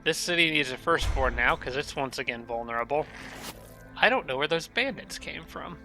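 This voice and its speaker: A man's voice: on the high side, expressive, moderate pace, creaky, bright, forceful tone, soft background music. A man giving casual commentary over a strategy game, thinking aloud with many fillers and restarts, his nose stuffed up from allergies.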